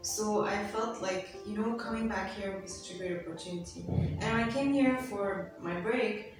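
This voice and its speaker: A woman's voice: medium pitch, moderate pace, recorded from a distance. Young woman, echoey room, music behind. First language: Arabic. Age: young adult. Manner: chatty, many fillers like "you know" and "like". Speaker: interview guest, university student. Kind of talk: casual interview. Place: school set.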